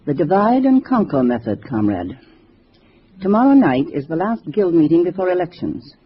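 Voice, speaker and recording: An actress playing a calculating communist cell leader who gives businesslike orders in 1950s radio drama style. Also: female voice, lowish voice, sing-song, moderate pace, a little hiss or hum. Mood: commanding. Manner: businesslike, instructing. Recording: dull vintage radio recording.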